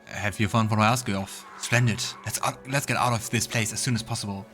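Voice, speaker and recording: A man's voice: fairly low pitch, fairly even intonation, fast, fairly bright tone, soft background music, natural voice. A man voicing a courteous old elf storyteller in a fantasy game, polite and slightly formal. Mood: pleased, urgent.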